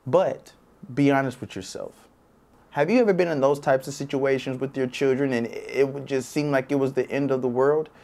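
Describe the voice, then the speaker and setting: A man's voice: mid-range voice, darkish tone. A man hosting a read-aloud show, speaking directly and encouragingly to parents as he wraps up. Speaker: children's read-aloud host. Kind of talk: friendly sign-off to viewers.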